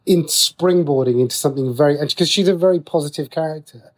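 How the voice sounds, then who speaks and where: Male interview guest, middle-aged, slightly high register, talkative and discursive, conversational delivery. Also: quick pace. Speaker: writer and radio drama creator, interview guest. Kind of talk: casual interview talk.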